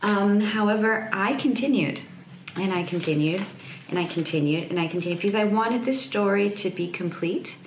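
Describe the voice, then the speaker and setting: A woman illustrator chatting casually on her vlog, hesitant, often pausing and repeating words as she thinks. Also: mid-range voice. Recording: echoey room, clear background noise.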